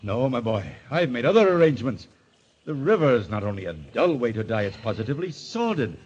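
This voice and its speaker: An actor playing a genteel, world-weary gentleman with an urbane, fastidious manner in a 1940s radio drama. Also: mid-range voice, very animated, a little hiss or hum, natural voice. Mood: urbane, persuasive. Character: genteel, world-weary gentleman who relishes a stylish death. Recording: dull vintage radio recording.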